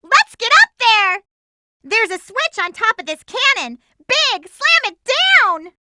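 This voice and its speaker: A woman voicing an excitable, determined young girl heroine in a video game, with a girlish, perky, emphatic delivery. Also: slow pace, heavily acted. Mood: excited, urgent. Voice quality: girlish, high-pitched. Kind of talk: animated video game character voice lines.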